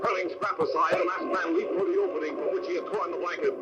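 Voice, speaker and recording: An actor as a high-pitched, urgent old-time radio western narrator. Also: male voice, quick pace, lightly acted. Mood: urgent, dramatic. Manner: dramatic, urgent storytelling delivery. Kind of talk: 1940s radio adventure narration. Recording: muffled old radio recording under loud music.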